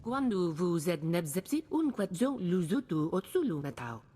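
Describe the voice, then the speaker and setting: A woman's voice: lowish voice, very animated, normal speed, dark tone, slightly rough. An actress playing a female alien in a video game, speaking lines in an invented alien tongue. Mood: earnest. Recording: long reverberant tail.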